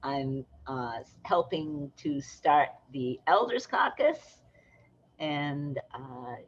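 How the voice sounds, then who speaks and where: A woman introducing herself on a video call, warm and a little wistful. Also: low-pitched, lively intonation, extremely slow, slightly rough, dull audio. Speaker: party senior advisor.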